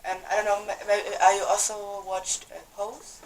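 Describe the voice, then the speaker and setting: A woman's voice: mid-range voice, level tone, moderate pace, distant mic. Hesitant woman. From Paraguay. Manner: hesitant, searching for English words. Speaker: audience member. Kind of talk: Q&A question from the floor. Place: cinema screening room. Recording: roomy, from across the room, noisy.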